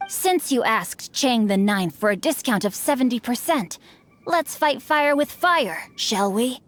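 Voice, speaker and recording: Casting: a woman as a polished young male noble, fairly high voice, archaic phrasing, sly humor. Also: expressive, measured pace, slight background noise. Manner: formal, archaic wording, dry teasing wit. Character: witty bookish young noble heir, male, playful. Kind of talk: game cutscene voice acting.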